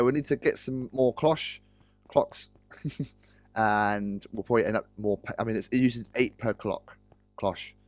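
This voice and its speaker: Chatty croaky British gamer man. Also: on the low side, no echo. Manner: rambling, self-correcting, chatty. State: slightly croaky voice. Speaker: Let's Play host. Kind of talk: casual gameplay commentary. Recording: dry close-mic recording with faint music.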